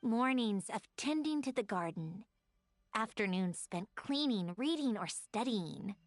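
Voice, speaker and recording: A woman's voice: a little high, expressive, a little slow, no echo. A woman playing a prickly, guarded young female machine being in a video game, haughty and precise in her wording. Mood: wistful, pensive. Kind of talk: video game character acting.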